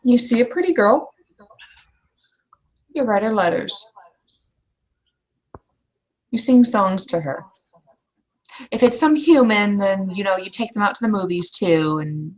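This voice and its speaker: A woman's voice: mid-range voice, unhurried, pauses often, natural voice, low-quality recording. A woman playing a blunt veteran female troll knight in a tabletop roleplaying game, talking in a frank, folksy, matter-of-fact way. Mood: frank, advising.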